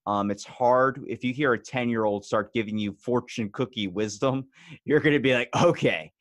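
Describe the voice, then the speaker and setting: A man's voice: mid-range voice, moderate pace, dry recording, studio quality. A man as a podcast guest in casual conversation, talking bluntly with plenty of swearing and rising energy. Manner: blunt, profane and fired-up.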